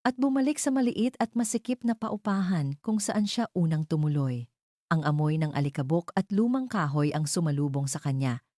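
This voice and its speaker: A woman with a Filipino accent narrating a scripted love story in a solemn, dramatic way with deliberate pauses. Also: deep voice, dark tone, no room sound, clean studio sound.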